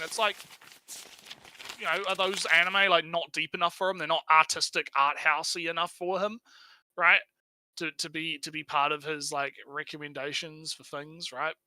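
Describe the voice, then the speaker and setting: A man's voice: high voice, bright, forceful tone, no room sound, poor audio. A man chatting casually about anime as a livestream co-host, joking and teasing with some swearing. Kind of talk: casual banter and anime chat.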